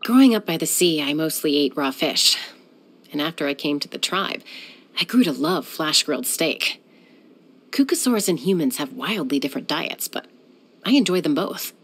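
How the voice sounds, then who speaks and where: An actress voicing a young warrior woman who is a peacemaker, speaking in a calm, measured way with a dry, teasing edge. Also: deep voice, husky, exaggerated voice. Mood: relaxed. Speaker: game character. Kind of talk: game voice lines.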